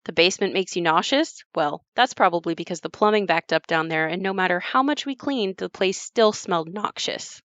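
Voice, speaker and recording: A woman playing an adult narrator who looks back on her haunted childhood home in a scripted audio play, reflective and measured. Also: lowish voice, expressive, fairly bright tone, no room sound. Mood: reflective, confiding.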